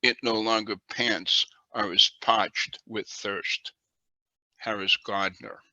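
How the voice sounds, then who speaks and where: A man's voice: lowish voice, fairly even intonation, takes it slowly, sharp tone, no room sound, low-quality recording. A man reciting his poetry line by line. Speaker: poet.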